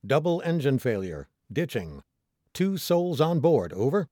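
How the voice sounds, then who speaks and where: A man's voice: medium pitch, big pitch swings, a little slow, warm tone, no echo, studio quality. A man voicing a desperate, panic-stricken castaway pilot in an audiobook, crying out urgently and emphatically. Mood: urgent distress.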